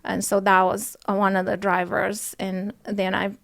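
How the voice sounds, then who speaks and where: A woman, answering interview questions in a soft, hesitant way with many pauses and ums. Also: mid-range voice, monotone, creaky. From Romania. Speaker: podcast guest, nurse. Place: studio.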